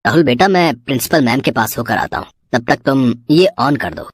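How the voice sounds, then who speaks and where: A woman's voice: rumbling low, lively intonation, moderate pace, no echo, clean studio sound. A woman voicing a strict male school computer teacher in a cartoon story. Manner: strict, scolding. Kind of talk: cartoon voice acting.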